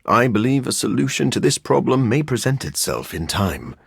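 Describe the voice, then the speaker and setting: A man playing a brooding, dryly witty vampire scientist in a video game, husky and raspy, speaking in a formal, sardonic way. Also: medium pitch, big pitch swings, moderate pace, no echo, studio quality, full character voice. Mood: measured, brooding. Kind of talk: video game character voice acting.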